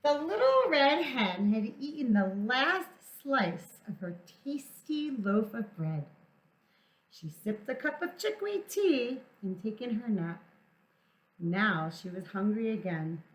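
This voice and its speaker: A woman reading a storybook aloud to young children as a storytime teacher, theatrical and deliberate, drawing out words for emphasis. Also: fairly high pitch, big pitch swings. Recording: echoey room sound.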